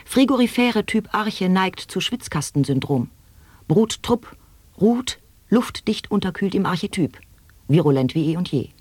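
A woman reading experimental sound poetry in a radio play, taking each word slowly and deliberately in a dry studio. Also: mid-range voice, animated, a little mellow, breathy edge, dry recording. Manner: slow, deliberate word-by-word delivery.

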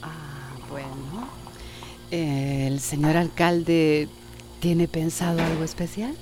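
An actress playing a gentle, affectionate wife with a Central American accent, in a radio soap opera. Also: female voice, deep voice, level tone, slow pace, a little hiss or hum. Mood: tender, teasing.

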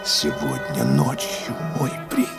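Secretive courtly plotter, low register, devout and theatrical radio-play delivery, music underneath. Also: male voice, big pitch swings, slow pace, heavily acted. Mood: conspiratorial. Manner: theatrical, solemn oaths and hushed urging. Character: scheming courtly conspirator, smooth, devout, secretive.

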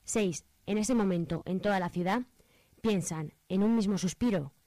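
A woman's voice: medium pitch, normal speed, mellow, dry recording. A woman with an accent from Spain narrates a scripted radio drama in a mock-solemn storytelling manner. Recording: light music bed.

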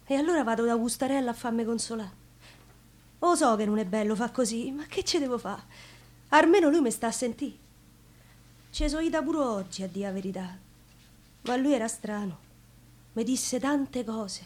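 Un'attrice che interpreta una giovane popolana innamorata in un monologo teatrale, con accento romano. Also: female voice, on the high side, moderate pace, pauses often, a little mellow, close to an everyday voice. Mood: plaintive. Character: lovesick, illiterate young Roman woman telling her heartaches. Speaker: actress in a theatre-song show. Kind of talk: theatrical dialect monologue.

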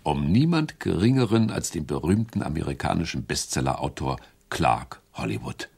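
An actor narrating a radio drama as a grave announcer, deliberate and suspenseful in his reporting. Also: rumbling low, very animated, creaky, a little mellow, husky, full character voice.

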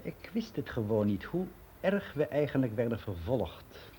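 Mellow older man reminiscing, faint hiss. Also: mid-range voice, sing-song, unhurried. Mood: candid, reminiscing. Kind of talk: radio play monologue as a personal testimony.

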